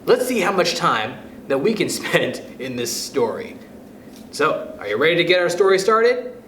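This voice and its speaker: Male children's storytime reader, higher-pitched and very animated, addressing kids directly, recorded in a roomy space. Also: normal speed. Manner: expressive, addresses young listeners directly. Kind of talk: picture book read-aloud for children. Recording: roomy echo with faint background noise.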